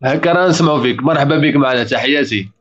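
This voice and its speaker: A man's voice: medium pitch, fairly bright tone. A man, hosting a casual live call-in stream and guiding callers on their mics. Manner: fast, coaching callers on their mic. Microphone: video call. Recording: dull lo-fi online call audio.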